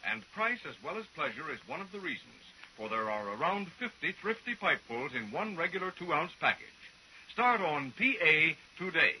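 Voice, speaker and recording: A man's voice: on the high side, very animated, moderate pace. A man narrating a storytelling radio commercial in a 1940s announcer style. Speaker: advertising announcer. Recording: faintly noisy vintage radio recording.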